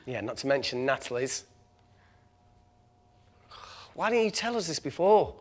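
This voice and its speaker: An actor playing a wry, blunt scientist under pressure in a film thriller, with a dry, wisecracking manner. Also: male voice, medium pitch, very animated, a little fast, close to an everyday voice. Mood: suspicious.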